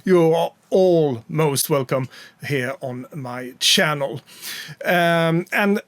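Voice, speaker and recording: A man's voice: on the high side, slow pace, a bit bright, no echo. A man from Sweden with a Swedish accent, chatting casually to camera about his record collection, rambling a little with frequent fillers.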